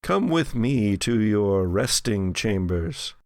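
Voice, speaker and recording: A man voicing a tall, thin butler in a horror game, formal and courteous with quiet menace. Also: on the low side, big pitch swings, dry recording, clean studio sound, exaggerated voice. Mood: politely menacing. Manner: formal, courteous, measured servant speech.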